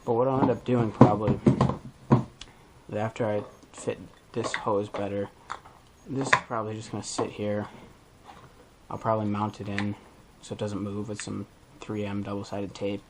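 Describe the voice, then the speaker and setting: A man's voice: lowish voice, level tone, moderate pace, heavy background noise. A man hosting a DIY video, talking through a hands-on tutorial in a casual, informal way as he thinks aloud.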